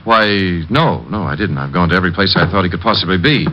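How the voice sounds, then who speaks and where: An actor playing a flustered, solicitous doctor in an old radio drama. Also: male voice, low voice, fast talker, lightly acted. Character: flustered, solicitous male family doctor friend. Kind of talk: 1940s-50s radio melodrama acting. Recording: dull, hissy old radio recording.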